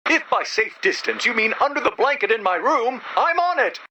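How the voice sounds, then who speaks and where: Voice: mid-range voice, expressive, normal speed, sharp tone. An actor playing a timid, nervous little robot helper in a video game, with a slightly muffled, noisy sound. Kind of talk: animated video game character dialogue.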